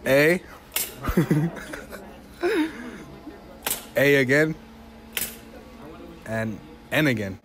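A man's voice: mid-range voice, lively intonation, drawn-out words, pauses often, a bit bright. Joking, evasive teen boy. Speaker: interviewee. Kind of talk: casual chat. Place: outdoor school campus. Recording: crowd noise behind. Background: students chatting.

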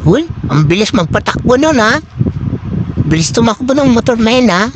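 A woman's voice: big pitch swings, normal speed. A middle-aged woman from the Philippines chatting casually on a walking vlog, repeating short phrases, her voice altered by a voice changer to sound man-like. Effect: voice changer giving a man-like pitch. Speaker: vlogger. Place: outdoors walking along city streets. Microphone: phone at arm's length. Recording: noisy street with passing vehicles.

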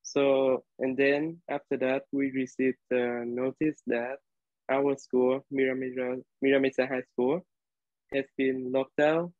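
Young man, Vietnamese accent, hesitant, flat and monotone, tinny laptop audio. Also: mid-range voice, no room sound. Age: young adult. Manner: hesitant, many fillers, nervous. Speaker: college student. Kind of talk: class storytelling speech. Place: living room indoors. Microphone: laptop webcam on video call. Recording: dry, tinny video-call audio.

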